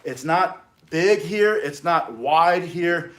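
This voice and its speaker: Energetic man teaching self-defense. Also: on the high side, takes it slowly. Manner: energetic, chatty, punchy short phrases. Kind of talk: casual instructional demonstration.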